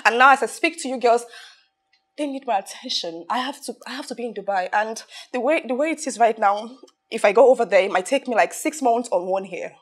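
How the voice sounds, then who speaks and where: A young Nigerian businesswoman played by an actress in a film drama, with a high voice. She is domineering and sorry for herself, and she pleads and repeats herself with great drama. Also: fairly quick, close to an everyday voice. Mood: tense, explaining. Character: self-pitying, domineering young businesswoman housing her friends. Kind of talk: emotional film drama acting.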